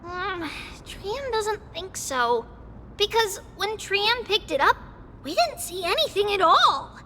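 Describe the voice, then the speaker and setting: A woman voicing a bubbly, excitable, childlike little girl in an anime-style game. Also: very high voice, slow, slight background noise, heavily acted. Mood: earnest. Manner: excitable, third-person childlike talk. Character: bubbly childlike little girl who speaks of herself by name.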